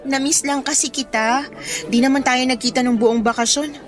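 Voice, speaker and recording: A woman's voice: high voice, fairly even intonation, normal speed, lightly acted. A Filipino woman playing a shy, overlooked teenage schoolgirl and loyal best friend in a radio drama, hesitant and understated. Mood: teasing, wistful. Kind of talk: radio drama acting in casual speech. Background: background music.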